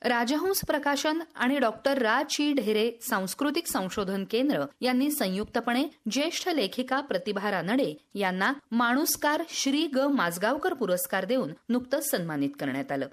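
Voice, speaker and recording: A woman newsreader delivers scripted radio news. Her voice sits fairly high and moves with very lively intonation from phrase to phrase. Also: moderate pace, hardly any pauses. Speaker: news presenter.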